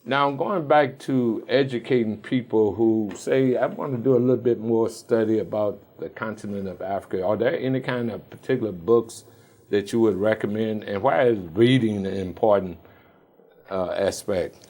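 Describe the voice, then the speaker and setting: A man's voice: fairly low pitch, moderate pace, a little mellow. A man with an American accent hosting a conversational studio interview. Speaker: talk show host.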